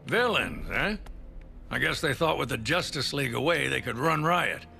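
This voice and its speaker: An actor playing an earnest, gruff middle-aged police commissioner with a gravelly voice in a video game. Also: male voice, mid-range voice, big pitch swings, normal speed, pushed and bright, soft background music, full character voice. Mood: earnest, puzzled.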